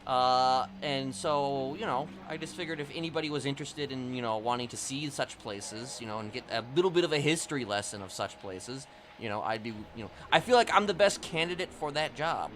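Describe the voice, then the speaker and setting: A man's voice: medium pitch, expressive, a little fast, few pauses, fairly bright tone, a little hiss or hum. A man chatting casually in a role-play game, rambling and hesitant, padding his sentences with filler phrases. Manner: hesitant, rambling, often saying "you know". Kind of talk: casual in-character chat.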